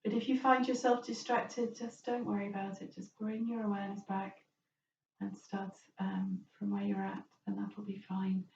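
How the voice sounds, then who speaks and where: A woman leads a guided relaxation as a yoga teacher, speaking in a gentle, reassuring way at a medium pitch. She invites the listener to choose whatever suits them. The recording is roomy and somewhat distant from the microphone, with a dull tone. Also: flat delivery, normal speed, creaky, a little hiss or hum.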